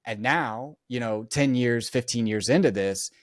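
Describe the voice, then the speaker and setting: A man's voice: medium pitch, a little slow, dry recording, clean studio sound. A man guesting on a podcast as a real estate investor, easygoing, agreeing often and telling anecdotes. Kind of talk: casual interview chat.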